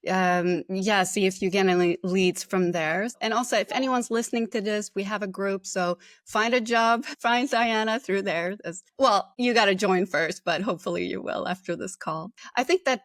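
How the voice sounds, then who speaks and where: A woman's voice: mid-range voice, moderate pace, few pauses, a bit bright, no echo, clean studio sound. A woman hosting a podcast interview, speaking in a supportive, encouraging way. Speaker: podcast host. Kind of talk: casual interview chat.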